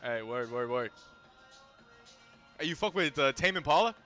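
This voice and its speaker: Loud, cursing male game streamer. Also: mid-range voice, normal speed, soft background music. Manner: loud, profane, excitable outbursts. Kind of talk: casual live gameplay commentary.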